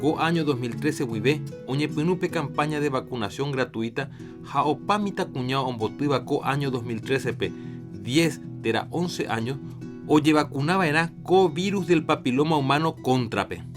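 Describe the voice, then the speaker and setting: A man's voice: mid-range voice, moderate pace. Man reading a health announcement over guitar. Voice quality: steady, informative reading. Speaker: program presenter. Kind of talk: scripted public health announcement. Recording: music bed under the voice.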